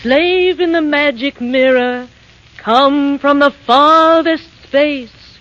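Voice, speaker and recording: A woman's voice: sits high, takes it slowly, a bit bright, faint music behind. An actress playing a vain, commanding evil queen in a 1930s radio drama, with imperious, incantatory delivery. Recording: muffled, noisy vintage 1930s radio broadcast.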